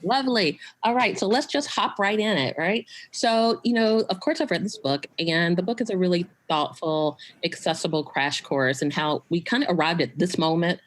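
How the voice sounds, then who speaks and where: Low-voiced woman hosting a talk. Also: normal speed, few pauses. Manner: chatty, fond of "you know" and "right?". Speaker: host. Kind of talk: conversational interview, online live stream. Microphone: home video call.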